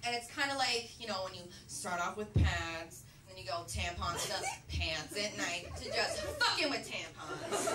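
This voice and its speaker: Young woman doing stand-up in a club, high lively voice, far from the mic, echoey room, crowd laughing loud. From Canada. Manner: animated, swings between low asides and shrieking punchlines. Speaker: stand-up comedian. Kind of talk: stand-up comedy set. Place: comedy club stage, large dark room. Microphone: handheld mic on a stand cord, filmed from far. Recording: roomy, distant club recording with crowd laughter. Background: audience laughter.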